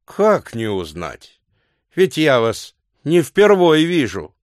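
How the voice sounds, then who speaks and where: An actor playing an insolent, blunt doorman in an audio drama, speaking in an unhurried, folksy way. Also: on the high side, wildly swooping pitch, no room sound, clean studio sound, exaggerated voice. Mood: blunt, stolid. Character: insolent common hotel-and-mansion doorman, blunt and scornful. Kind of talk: theatrical audiobook drama acting.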